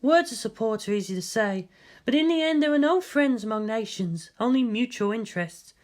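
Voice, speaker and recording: A woman voicing a cool, cynical, analytical female advisor in a story, measured and matter-of-fact. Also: fairly high pitch, animated, darkish tone, close to an everyday voice.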